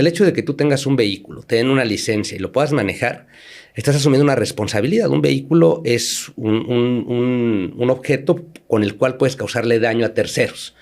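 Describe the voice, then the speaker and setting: A man's voice: medium pitch, darkish tone. Man explaining insurance with fillers. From Mexico. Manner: explanatory, with frequent fillers and repeated words. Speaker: insurance company general manager, guest. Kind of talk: podcast interview.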